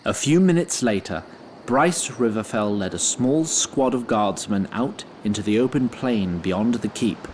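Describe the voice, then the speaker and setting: A man's voice: fairly low pitch, animated, a little slow, a little mellow, slight background noise. A man playing a fussy, courtly wood sprite who narrates a fantasy audio drama in formal, theatrical language. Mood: grave storytelling.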